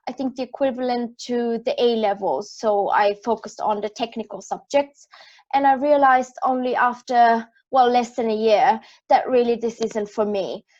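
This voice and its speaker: A woman's voice: fairly high pitch, a little mellow. Austrian-accented woman on a muffled call. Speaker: project manager, panelist. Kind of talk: career panel talk.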